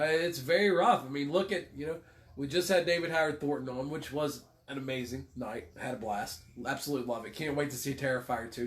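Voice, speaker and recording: A man's voice: on the high side, a bit bright. Man with a cold hosting a livestream. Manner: rambling, swears casually, self-deprecating jokes. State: congested with a cold. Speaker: livestream co-host. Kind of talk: casual livestream chat.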